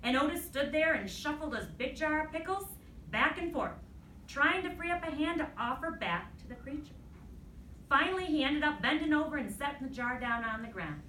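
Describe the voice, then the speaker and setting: A woman with a bright, fairly high voice teaches through a read-aloud, speaking in a deliberate, instructive way. She checks in with her listeners as she goes. The recording is roomy and distant, with faint noise. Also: animated. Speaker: elementary school teacher.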